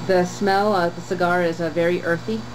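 Calm low-voiced woman reviewing cigars. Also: background noise. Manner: calm, measured, with frequent "uh" pauses. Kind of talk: product review to camera. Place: screened porch outdoors, trees behind.

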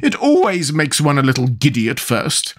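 A man's voice: high voice, huge pitch swings, normal speed, a bit bright, pitched above the performer's own voice, full character voice. A man voicing a helpless, fretful, dotty old queen from a storybook, in a plaintive, wavering manner. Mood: dotty, insistent. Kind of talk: storybook character voice.

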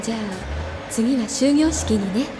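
A woman's voice: a little high, a little slow. A woman playing an elegant, composed big-sister schoolgirl in an anime audio drama, heard through reverb as in a memory. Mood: composed, fond. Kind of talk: Japanese anime audio drama acting, remembered dialogue. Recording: long reverb over music.

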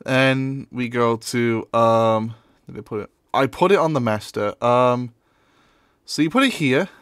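A man's voice: medium pitch, unhurried, a bit bright, clear, resonant tone, no room sound. Casual man giving a dry-sounding tutorial. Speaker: tutorial presenter. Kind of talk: casual screen-recorded software tutorial.

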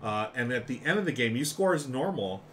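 A man's voice: medium pitch, a little fast. A middle-aged man hosting a board game live stream, chatting casually and enthusiastically as he explains games. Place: small room lined with board games. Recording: clear steady background noise.

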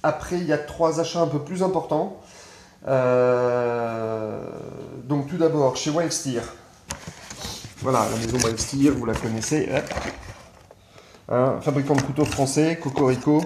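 A man in his forties talks casually to his phone camera, pausing often with fillers as he shows off his gear. His voice sits at an ordinary middle pitch. The small room around him gives the sound a noticeable echo. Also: noisy background. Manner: chatty, hesitant, frequent fillers. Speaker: survival gear vlogger. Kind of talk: casual show-and-tell vlog. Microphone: phone camera at arm's length.

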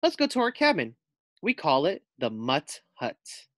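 Man putting on a high-voiced collie camp counselor lady. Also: huge pitch swings, measured pace, fairly bright tone, no room sound, studio quality, exaggerated voice. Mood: welcoming. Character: cheery female collie camp counselor in charge.

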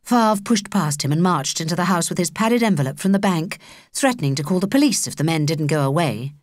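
A woman with a British accent narrating a children's audiobook in an expressive, measured storytelling manner. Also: fairly low pitch, dry recording, clean studio sound. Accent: British English.

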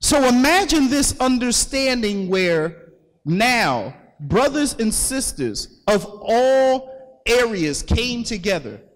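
High-voiced preacher in an echoing hall. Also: male voice. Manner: calls for readings and repeats the verses back to the congregation. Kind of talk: sermon teaching from scripture. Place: large hall at a religious gathering. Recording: long echo of a large hall.

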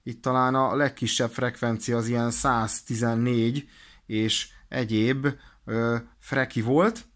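A man explains things in an informal, halting way, thinking aloud as he presents a hands-on demonstration. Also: mid-range voice, steady tone. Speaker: presenter of a radio equipment demonstration. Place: indoors at a desk.

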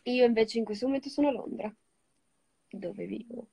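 A young woman chats with her viewers on a livestream, holding her phone at arm's length as she lies on a bed. Her voice is husky and mellow, with a lot of vocal fry. The sound is close and dry, with no room echo. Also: fairly high pitch, fairly quick. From Switzerland. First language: Italian. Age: young adult. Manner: greets viewers, switches languages, relaxed and chatty. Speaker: livestream host. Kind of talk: casual livestream chat with viewers. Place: lying on a bed indoors. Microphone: phone held at arm's length.

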